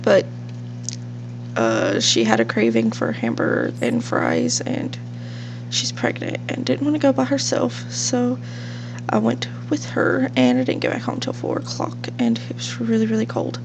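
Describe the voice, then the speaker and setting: A woman's voice: rumbling low, wildly swooping pitch, a little slow, gravelly. A young woman with a sniffly cold, casually rambling through let's-play commentary at home. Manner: chatty, rambling with fillers. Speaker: gaming channel host. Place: home room with a fish tank. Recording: faint hum from fish tank.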